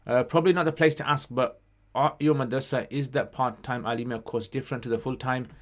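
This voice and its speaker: A man with a mid-pitched voice hosts an informal livestream question session. He reads out questions and answers them in a conversational way, dropping Arabic phrases into his casual talk. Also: steady tone, fairly quick.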